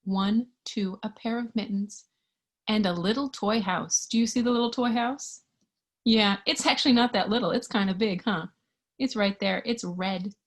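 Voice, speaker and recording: A woman reading a picture book to young children in a gentle, singsong way, sometimes hushed, asking the listener simple questions with childlike wonder. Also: medium pitch, normal speed. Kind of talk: interactive children's read-aloud with questions to the listener.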